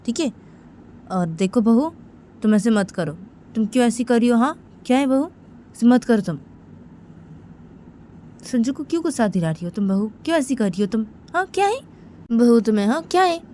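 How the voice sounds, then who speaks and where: A woman's voice: medium pitch, mellow, a little hiss or hum, natural voice. A woman voicing an agitated older father who scolds his son and daughter-in-law with insistent, repeated pleas and questions. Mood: firm pleading.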